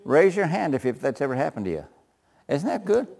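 A man with a loud voice leading a group Bible study, often chuckling and putting questions to the group. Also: a little high, big pitch swings, a little fast, vocal fry.

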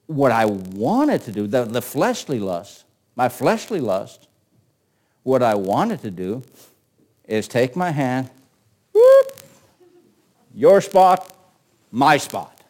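A man's voice: medium pitch, wildly swooping pitch, slow pace, pauses often, a little mellow. A man in his sixties preaching in an emphatic, conversational way, repeating phrases and asking rhetorical questions as he teaches from scripture. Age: 60s. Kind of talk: sermon with scripture readings.

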